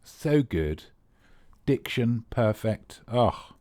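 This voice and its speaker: A man's voice: medium pitch, big pitch swings, extremely slow, warm tone, no echo. A man hosting a live comedy quiz, rambling and playful with teasing asides to the players. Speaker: quiz host.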